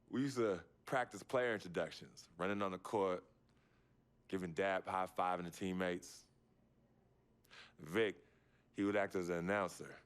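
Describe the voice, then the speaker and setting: An actor playing an earnest, loyal young pro basketball player in a video game, talking in a reflective, casual storytelling way. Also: male voice, deep voice, steady tone, measured pace, pauses often. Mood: nostalgic. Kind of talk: naturalistic video game cutscene acting.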